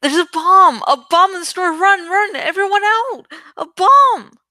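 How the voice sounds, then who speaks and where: Storybook teen boy played by a woman: urgent, wisecracking, pitched high and bright, prone to yelling. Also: unhurried, no room sound, studio quality, full character voice. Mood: screaming alarm. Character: frantic, sarcastic teenage boy yelling in panic.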